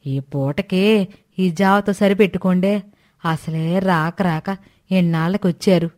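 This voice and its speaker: A woman's voice: on the low side, steady tone, a little slow, a little mellow, close to an everyday voice. A woman voicing a stingy old village grandmother in a moral storybook tale, evasive and grumbling in a folksy, exclaiming way.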